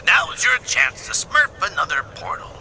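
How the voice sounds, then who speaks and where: A man voicing a cautious old leader of little cartoon creatures in a video game, creaky, authoritative and fatherly. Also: very high-pitched, big pitch swings, normal speed, pushed and bright, slight background noise, full character voice. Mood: firm.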